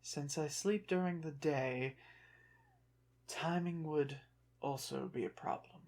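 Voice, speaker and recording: Slow, proud revived ancient Egyptian played by an actor. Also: mid-range voice, darkish tone. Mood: alarmed, resigned. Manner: formal, haughty, guarded, halting phrases. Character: proud, touchy ancient Egyptian revived from the dead, museum exhibit. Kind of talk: ASMR roleplay, one side of a dialogue.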